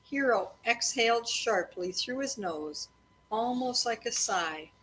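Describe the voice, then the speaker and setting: A woman reading a children's book aloud to kids in a storytelling style, pausing to chat and ask them questions. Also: fairly high pitch, lively intonation, a bit bright, slight background noise, low-quality recording. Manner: breaks off to address and question children.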